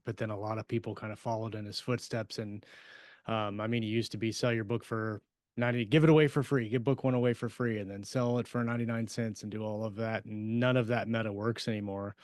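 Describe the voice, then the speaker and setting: A man co-hosting a podcast, chatting casually in halting, repeating phrases. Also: on the low side, little pitch movement, no room sound, studio quality. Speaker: podcast co-host, author. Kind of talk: casual chat.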